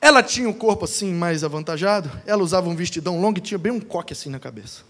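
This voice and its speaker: A Brazilian man preaching as a pastor, colloquial and emphatic, with rhetorical pauses and dramatic storytelling. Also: high-pitched, expressive, a bit bright. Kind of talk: animated sermon with dramatic storytelling. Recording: long hall reverb.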